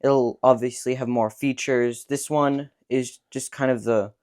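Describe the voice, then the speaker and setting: A man's voice: mid-range voice, steady tone, measured pace, a little mellow. A young man, a ham radio operator, explaining radio features step by step in a room at home. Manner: explains step by step with small restarts. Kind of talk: casual technical explanation.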